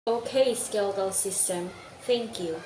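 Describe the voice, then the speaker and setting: A woman's voice: mid-range voice, measured pace, lightly acted. A woman playing a composed judge who formally questions witnesses in an amateur educational reader's theater play. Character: composed judge presiding over testimony of body systems. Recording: echoey room, loud background noise.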